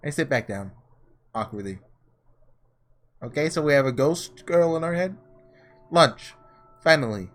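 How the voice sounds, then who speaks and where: Man, lively swooping delivery, casual game playthrough, reads aloud with excitable asides, music underneath. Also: on the high side, measured pace, pauses often. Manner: animated, excitable, breaks off into asides. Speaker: gaming video creator doing a blind playthrough. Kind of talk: casual let's play, reading story text aloud with commentary. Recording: music behind the voice.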